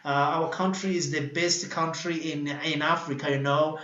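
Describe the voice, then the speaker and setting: A man's voice: fairly high pitch, steady tone, normal speed, fairly bright tone, reverberant, dull audio. A man hosting an online panel discussion from home at night, talking with many fillers and restarts. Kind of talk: closing remarks in an online panel. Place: home in Addis Ababa, at night.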